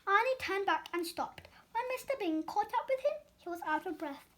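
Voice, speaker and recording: Very high, animated female storyteller. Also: moderate pace. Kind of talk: expressive children's picture-book read-aloud.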